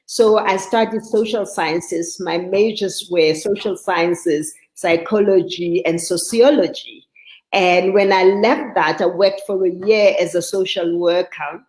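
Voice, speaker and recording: A woman's voice: fairly low pitch, a little slow, darkish tone. South African woman in her sixties, echoey. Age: 60s. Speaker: guest, arts advisor. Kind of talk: conversational online interview.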